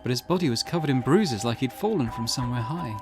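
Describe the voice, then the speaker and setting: A man reading the part of a calm, serious, cautious teenage schoolgirl in a visual novel, measured and matter-of-fact. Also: mid-range voice, over music, natural voice. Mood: grave, composed.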